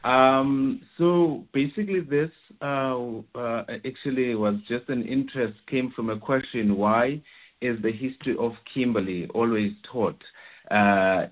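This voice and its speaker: A man's voice: medium pitch, measured pace. A man, an education researcher answering interview questions hesitantly, with many fillers and restarts. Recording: tinny phone line.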